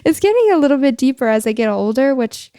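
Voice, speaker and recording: A woman hosting a podcast, with a soft, high voice, speaking agreeably and affirming her guest. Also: very animated, fast talker, a crowd in the background. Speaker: podcast host. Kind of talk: casual interview chat.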